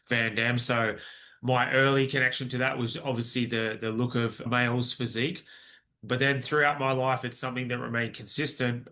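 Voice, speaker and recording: A man's voice: medium pitch, pushed and bright. A man in his forties with an Australian accent, telling his personal story as a podcast guest in an earnest, rambling way with many restarts. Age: 40s.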